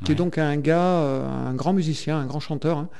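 A man's voice: on the high side, steady tone, brisk, mellow, clean tone. A man, a singer, chatting as a radio guest, hesitant with many fillers and restarts. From France. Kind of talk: radio interview chat.

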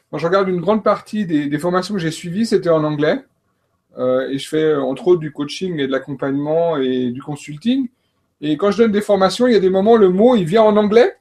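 A man's voice: high-pitched, a little mellow. Male coach, slightly raised voice, hesitant, lots of fillers. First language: French. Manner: hesitant, many fillers and restarts. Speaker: coach and trainer. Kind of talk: panel talk on learning.

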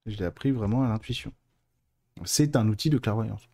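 A man's voice: on the low side, moderate pace, warm tone. A man hosting a live stream, talking casually and informally to his viewers in a chatty, digressive way. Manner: informal, colloquial wording. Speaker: host of a live stream on mediumship and clairvoyance. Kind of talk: casual live talk answering viewer questions.

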